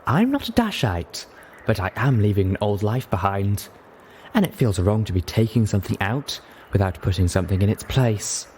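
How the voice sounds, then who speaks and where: A man's voice: on the low side, very animated, moderate pace, a little mellow. Storybook unicorn mare, acted by a male reader: refined, courteous, careful delivery over faint noise. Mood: apprehensive, polite. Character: refined female unicorn pony, polite and slightly apprehensive.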